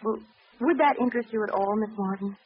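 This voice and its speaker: A woman's voice: medium pitch, fast, slightly rough, close to an everyday voice. A woman playing a genteel, composed young charity worker, polite and well-spoken, in old-time radio drama style. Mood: kindly, polite. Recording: muffled, noisy old radio recording.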